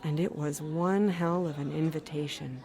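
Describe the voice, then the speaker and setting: A woman's voice: low-pitched, steady tone, normal speed, darkish tone, some chatter behind. An actress playing a shrewd, sardonic woman who narrates an audio drama in a wry, confiding manner. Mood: wry, scheming.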